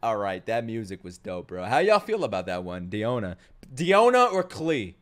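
Guy live reacting to stuff, slangy n sweary, animated pitch, faint music in back. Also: normal speed. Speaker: streamer. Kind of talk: casual live reaction commentary. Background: game trailer music.

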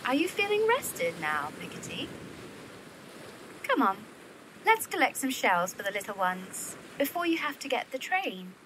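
A woman's voice: high-pitched, big pitch swings, unhurried, background noise. A woman playing a young, worldly, protective Victorian widow and elder sister in an audio drama. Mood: advising. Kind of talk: period audio drama acting.

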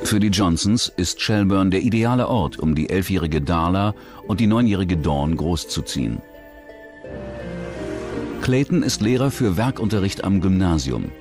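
A man reading scripted true-crime documentary narration in a measured, dramatic storytelling manner. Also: low voice.

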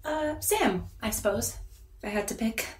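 A woman's voice: on the high side, lively intonation, normal speed, lightly acted. A woman playing a nervous young therapy patient in an audio drama, rambling and hesitant in a naturalistic style. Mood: awkward. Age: young adult. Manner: hesitant, stammering, self-conscious rambling.

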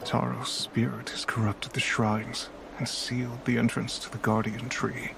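An actor playing a solemn, regretful older village mentor and hunter in a video game, gravelly, measured and grave. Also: male voice, mid-range voice, little pitch movement, noisy background. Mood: grave, guiding. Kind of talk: scripted video game dialogue.

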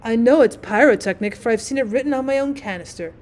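Storybook firework, acted by a woman: pedantic, prim, touchy, higher voice, fond of correcting others. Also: fast, darkish tone, natural voice. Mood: prim, corrective. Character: pedantic, easily offended Bengal light firework.

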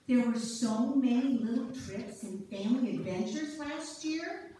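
A woman playing an excitable, folksy older woman in amateur reader's theater, nudging her friends. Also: high-pitched. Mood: excited. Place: small church, recorded from audience pews. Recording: echoey hall, far off, noisy.

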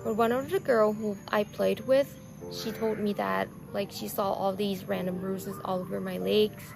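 A Thai woman in her twenties with a Thai accent, telling her own story softly and slowly to a camera outdoors, with many fillers. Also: mid-range voice, steady tone, gravelly, over music, rough recording. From Thailand. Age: 20s. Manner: slow, soft and reflective, with many fillers. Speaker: vlogger. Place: outdoors on a lawn. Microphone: camera on a tripod, seated facing it.

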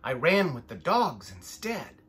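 A man's voice: medium pitch, big pitch swings, a little slow, a bit bright. A man voicing a carefree, mischievous young sheep in a children's storybook, breezy and gleeful.

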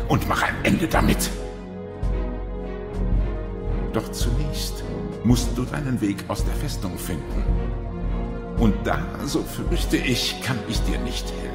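Deep, pompous old sorcerer. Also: male voice. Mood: urging, portentous. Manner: pompous, portentous, deliberate. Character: scheming old male sorcerer, grandly manipulative mentor. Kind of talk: theatrical video game cutscene acting. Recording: echoing chamber, loud music.

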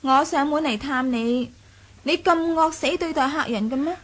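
A woman's voice: high-pitched, moderate pace, fairly bright tone. An actress playing a sly, teasing young noblewoman adversary in a radio drama. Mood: coy, taunting. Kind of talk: Cantonese radio drama acting.

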